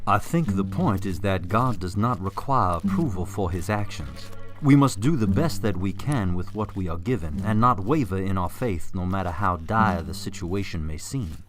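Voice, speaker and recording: Man playing a low-voiced, earnest adventurer. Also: animated, normal speed, over music. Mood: earnest, reassuring. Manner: earnest, formal, explanatory. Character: Jessup, earnest, faithful, level-headed male time-traveling adventurer. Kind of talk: radio drama acting.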